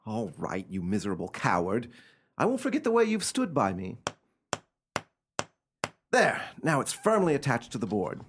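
A man acts out a haunted, high-handed gentleman in a radio horror play. His mid-pitched voice swings widely up and down, agitated and commanding, with a melodramatic edge. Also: wildly swooping pitch, normal speed, long pauses, a little hiss or hum. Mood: tense resolve. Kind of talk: amateur radio play acting.

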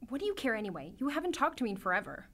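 A woman's voice: a little high, sing-song, rapid-fire, a bit bright, a little hiss or hum. A young female actor playing an anxious, emotional teenage girl in a radio drama. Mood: defensive, upset. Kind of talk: youth radio drama acting.

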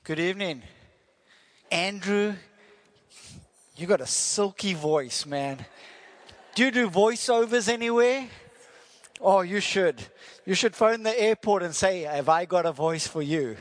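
A man's voice: high-pitched, a little slow, pauses often. A South African man preaching as a visiting missionary, friendly and joking, asking the congregation questions. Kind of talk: sermon with prayer. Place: church hall. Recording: church hall reverb.

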